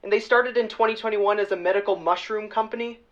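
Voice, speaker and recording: A woman's voice: mid-range voice, steady tone, quick pace, close to an everyday voice. A woman playing a casual, wry journalist guest bantering on a talk show in a radio play, recorded a little dully. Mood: wry, relaxed. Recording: slightly dull, lo-fi remote recording.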